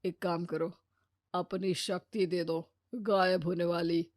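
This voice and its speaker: A woman voicing an officious male messenger of death in a cartoon story, with a stern, deadpan, scolding manner. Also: lowish voice, slow pace, no echo, clean studio sound, voice pushed down. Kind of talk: Hindi cartoon storytelling dub.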